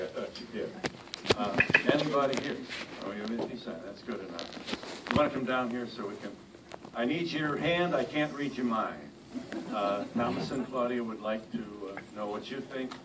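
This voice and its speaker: Man moderating over clear noise, off-mic. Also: mid-range voice, normal speed. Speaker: moderator of a question session. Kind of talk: informal hosting, calling on audience members. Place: live public question-and-answer event. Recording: distant microphone, clearly noisy event recording.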